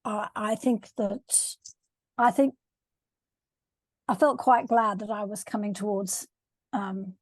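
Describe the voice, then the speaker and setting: A woman's voice: mid-range voice, steady tone, slow pace, long pauses, dry recording. Hesitant older woman on a dry call. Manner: hesitant, searching for words. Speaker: coach and supervisor. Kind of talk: video call discussion. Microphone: video call.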